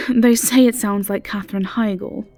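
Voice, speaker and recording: A woman's voice: medium pitch, steady tone, a little slow, dark tone, soft background music, lightly acted. A woman voicing a sardonic, irreverent inn regular with a blunt, mocking way of talking. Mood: amused, blunt.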